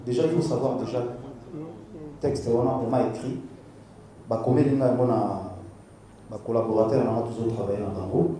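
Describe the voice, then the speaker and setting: A middle-aged Congolese man with a Congolese French accent, a singer answering at a press conference, mixing French and Lingala and pausing often with fillers. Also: mid-range voice, steady tone, mellow, a little hiss or hum. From Democratic Republic of the Congo. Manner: hesitant, many fillers. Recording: very echoey room from far off, crowd.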